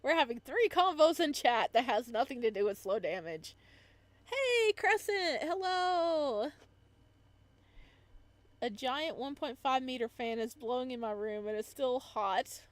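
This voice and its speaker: A woman's voice: high-pitched, lively intonation, a little slow, no echo. A woman live streamer chatting casually as she reads a visual novel, with giggly, playful asides. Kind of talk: casual livestream chat while reading a visual novel aloud.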